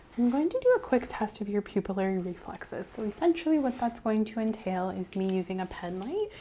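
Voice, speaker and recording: A woman with a mellow, dark-toned voice at an average pitch plays a medical examiner in soft ASMR style. She explains each step gently and reassuringly. A steady background noise sits behind her clip-on mic. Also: brisk. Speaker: examiner in a medical role-play. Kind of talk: soft-spoken ASMR role-play of a medical exam.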